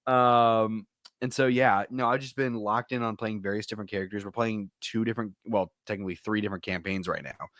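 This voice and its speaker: A young American man chats casually as a podcast co-host. His voice sits a little low in pitch, and the recording is very dry, with no audible room around him. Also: fairly even intonation, fairly quick, fairly bright tone, no room sound. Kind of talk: casual chat.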